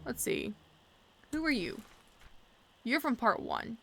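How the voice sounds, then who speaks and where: A woman's voice: a little high, sing-song, takes it slowly, fairly bright tone, slight background noise. A young woman casually commenting as she plays a mystery game, thinking aloud and working through her guesses. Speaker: let's play streamer.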